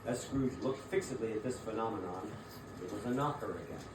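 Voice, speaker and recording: A man's voice: fairly low pitch, fairly quick. A man narrating a classic tale as part of a dramatic theatrical reading. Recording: echoey room, distant mic, clear background noise.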